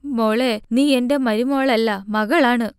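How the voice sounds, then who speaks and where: A woman plays an elderly mother-in-law in a cartoon story. She is loving and protective, with a worried manner and a high voice. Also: steady tone, slow pace, smooth. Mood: tender, worried.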